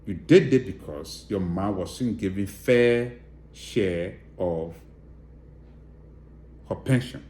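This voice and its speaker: A man's voice: sits low, slow, pauses often, dark tone, roomy sound. A man with a Liberian accent giving direct, emphatic political commentary and appealing to viewers. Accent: Liberian English.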